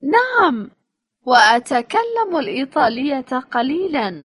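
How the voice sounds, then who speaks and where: A woman reading short phrasebook sentences slowly and clearly for language learners. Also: sits high, big pitch swings, fairly bright tone, no room sound, clean studio sound. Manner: slow, clearly spaced phrases. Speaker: phrasebook reader.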